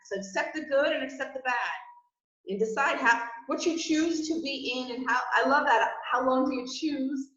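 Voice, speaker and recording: A woman's voice: high voice, normal speed, a bit bright. A woman co-hosting a casual chat, giving earnest, reflective advice to clients and listeners. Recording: echoey, slightly muffled room.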